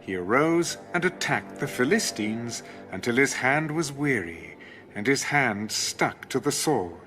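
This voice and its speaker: A man with a mid-range voice narrates scripture formally and dramatically. His intonation moves widely in measured storytelling phrases. Faint music runs underneath. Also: medium pitch, very animated, fairly bright tone. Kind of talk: dramatic audio Bible narration. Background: music behind the voice.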